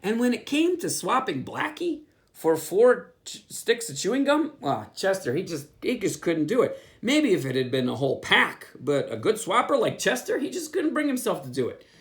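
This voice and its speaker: A pastor reading a children's picture book aloud, with playful asides. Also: male voice, sits high, moderate pace. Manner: animated storytelling with asides.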